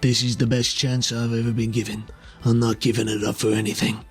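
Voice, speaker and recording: A man voicing a proud, bitter armoured villain in a tabletop game, taunting and threatening, with a slightly husky voice. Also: mid-range voice, level tone, fairly quick, natural voice. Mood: defiant. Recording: music behind the voice.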